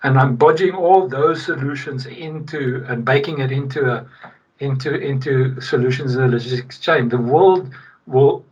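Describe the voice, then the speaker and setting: A middle-aged man from South Africa, a guest in a casual podcast interview, talking in an animated, emphatic way with frequent restarts. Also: mid-range voice, normal speed, husky. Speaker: guest, logistics executive. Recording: distant, dull remote-call sound.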